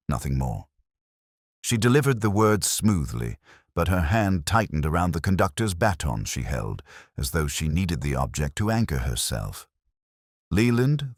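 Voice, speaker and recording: Very deep-voiced male story narrator. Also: dry recording, studio quality. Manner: measured, literary, brooding. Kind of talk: scripted first-person detective story narration.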